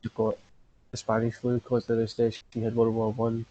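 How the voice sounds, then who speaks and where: Man, podcast host, casual chat, mellow warm tone, rambling with lots of fillers. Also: medium pitch, completely flat delivery, brisk, muffled recording, a little hiss or hum.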